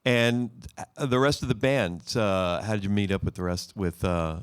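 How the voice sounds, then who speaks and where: A man's voice: lowish voice, normal speed, clear, resonant tone, dry recording. A man hosting a radio music session, asking a musician questions in a friendly way with short fillers. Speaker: radio interview host. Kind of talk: interviewing a musician.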